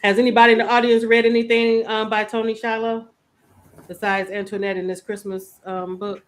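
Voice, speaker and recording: A woman's voice: on the high side, level tone, brisk. Lady host chatting casually about books, talks right to the audience. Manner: chatty, addresses live audience by name. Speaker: book show host. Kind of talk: casual book discussion chat.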